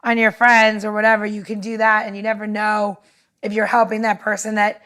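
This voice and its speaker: A woman's voice: medium pitch, monotone, fairly quick, a bit bright. A woman co-hosting a podcast in casual conversation, talking in an earnest, rambling way. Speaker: podcast co-host.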